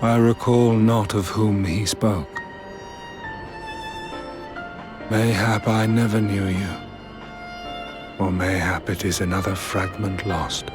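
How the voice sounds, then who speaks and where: A man playing a solemn, vengeful immortal sorcerer in a fantasy video game, speaking formally and deliberately with cold duty. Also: on the low side, flat delivery, natural voice. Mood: pensive. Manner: grave, deliberate, archaic formal speech. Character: solemn, vengeful immortal male sorcerer villain, coldly dutiful. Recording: orchestral music behind the voice.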